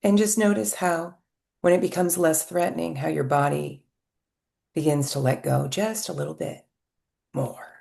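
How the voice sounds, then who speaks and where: Woman hypnotherapist with a low, warm voice, unhurried and calming, leaving gentle pauses. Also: vocal fry. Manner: slow, soft and soothing, with gentle pauses. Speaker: hypnotherapist guiding a session. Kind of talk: guided hypnosis session.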